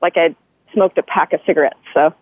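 A woman's voice: deep voice, fairly even intonation, fairly quick. A woman calling in to a talk show, eager and bubbly, with many ums as she asks her question. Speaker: caller. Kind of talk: phone-in question. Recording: tinny phone line.

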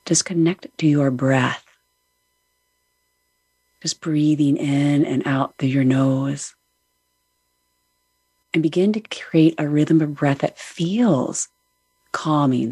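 A middle-aged woman with a very deep, husky, breathy voice guides a breathing meditation. She speaks slowly and soothingly, with no hurry at all. The recording is close and dry. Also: dry recording. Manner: soft, soothing, unhurried guidance. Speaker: podcast host.

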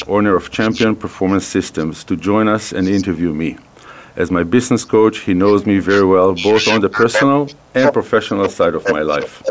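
Man from israel in a radio studio, hesitant, restarts a lot, lowish pitch, israeli accent. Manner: hesitant, many fillers and restarts. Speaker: radio show host. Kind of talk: hosting a radio business interview.

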